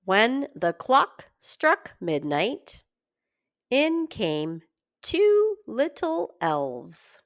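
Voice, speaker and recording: Very slow, animated woman reading a fairy tale. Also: a little high. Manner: expressive, deliberate storytelling for young children. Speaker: children's story reader, teacher. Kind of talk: read-aloud children's fairy tale narration.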